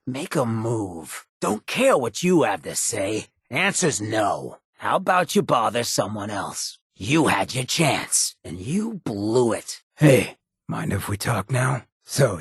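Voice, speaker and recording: An actor playing a roguish, sardonic but loyal ghoul outlaw in a video game, with a gravelly rasp and a cocky, laid-back street drawl. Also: male voice, medium pitch, dry recording, studio quality, full character voice. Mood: irritated, stern, then cool, sardonic. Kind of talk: video game companion dialogue.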